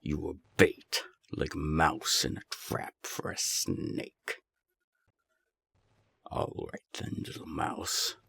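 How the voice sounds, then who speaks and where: Man acting very deep, gravelly, menacing serpent gang boss. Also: little pitch movement, a bit bright, full character voice. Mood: cold menace. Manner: slow, deliberate, taunting, threatening. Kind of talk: audio roleplay drama acting.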